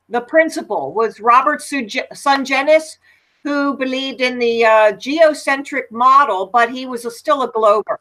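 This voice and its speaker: An American woman with a loud voice that carries, chatting casually and telling anecdotes with emphasis on an online panel. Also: fairly high pitch, rough recording. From United States. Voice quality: loud, projecting voice. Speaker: panel guest.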